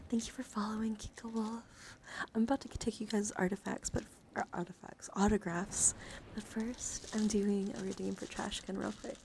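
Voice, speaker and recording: A woman livestream host chatting casually, often dropping into soft murmurs and whispers. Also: medium pitch, moderate pace, vocal fry. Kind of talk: casual live-stream chat. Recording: steady background noise.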